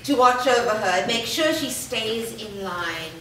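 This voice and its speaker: A woman's voice: fairly high pitch, expressive, measured pace, fairly bright tone. Woman acting a menacing oracle, echoing room. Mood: cold menace. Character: menacing, powerful oracle wife, coldly commanding. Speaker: actor. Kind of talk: staged reading of a fantasy drama. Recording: echoey room, distant mic, faint noise.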